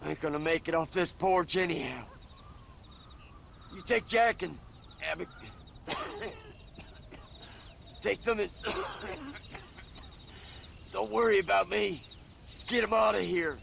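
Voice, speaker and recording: An actor playing a folksy, grumbling old ranch hand with an American Western accent and a gravelly voice in a video game. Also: male voice, high-pitched, takes it slowly, pauses often, fairly bright tone, slight background noise, heavily acted. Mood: pained, resigned. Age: older. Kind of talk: video game cutscene acting.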